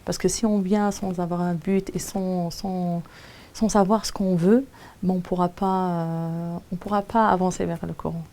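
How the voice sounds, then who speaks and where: A woman's voice: fairly low pitch, little pitch movement, normal speed, dark tone. A woman who teaches Quran recitation and is a native Arabic speaker, giving a personal testimony in French, hesitant and often searching for words.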